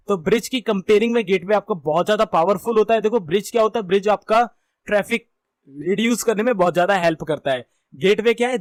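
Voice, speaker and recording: High-pitched Indian man teaching. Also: no echo. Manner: conversational, repeats and rephrases points. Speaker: computer networking instructor. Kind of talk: informal explanatory lecture mixing Hindi and English.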